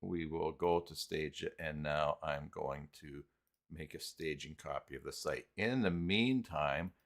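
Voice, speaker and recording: A low-pitched man hosts an unscripted screen-share tutorial at a very unhurried pace. His voice has a crackly fry, and he talks himself through each step in a casual, thinking-aloud way, with little muttered filler syllables. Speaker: live stream host and web tutorial presenter.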